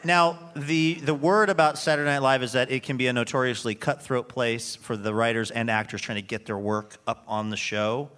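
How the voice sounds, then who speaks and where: A man's voice: mid-range voice, fairly even intonation, moderate pace, hardly any pauses, fairly bright tone, clear, resonant tone. A man hosting a radio show, interviewing conversationally on a live stage. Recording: live theater with audience behind. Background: audience.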